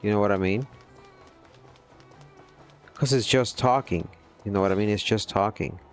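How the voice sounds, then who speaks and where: A low-pitched man talks loosely and earnestly to his livestream audience. He rambles and keeps checking in with "you know what I mean". Faint music plays under his voice. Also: moderate pace. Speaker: gaming livestreamer. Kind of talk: casual chat with viewers on a livestream.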